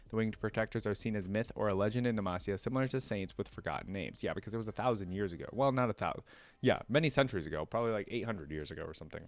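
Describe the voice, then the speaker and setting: A man's voice: lowish voice, dry recording. A man hosting a reaction video, reading trivia aloud in a casual way with short asides to himself.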